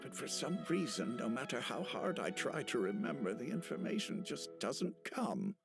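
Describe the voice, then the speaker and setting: An elderly village chief in a video game, humble and gentle. His mid-pitched voice rises and falls very widely, halting and wistful. Soft music sits behind him. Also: very animated. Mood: ashamed. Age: older. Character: kindly, humble elderly male village chief with failing eyesight. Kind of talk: video game character acting.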